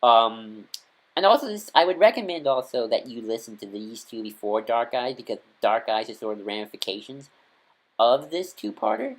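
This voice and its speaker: A man's voice: mid-range voice, sing-song, rough recording. Hesitant, rambling man, casual fan vlog. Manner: hesitant, rambling, halting and self-correcting. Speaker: vlogger reviewing audio dramas. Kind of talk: casual unscripted fan recommendation talk.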